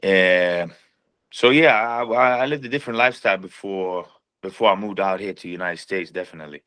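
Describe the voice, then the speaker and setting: A man's voice: low-pitched, fairly quick, sharp tone. A man, a boxer talking in a laid-back, slangy way as a podcast guest. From Sweden. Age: 30s. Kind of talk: casual chat.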